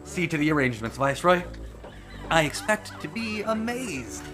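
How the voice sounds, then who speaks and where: An actor playing a haughty, exuberant, self-deluded prince in a theatrical, declamatory audio drama style. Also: male voice, fairly high pitch, big pitch swings, normal speed, over music. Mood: commanding excitement. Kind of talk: full-cast audio drama acting.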